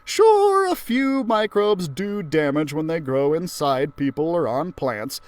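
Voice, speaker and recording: A man's voice: fairly high pitch, big pitch swings, slow pace, no room sound. A man voicing an enthusiastic, eccentric woman science teacher who explains things emphatically, in a children's storybook. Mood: eager explaining.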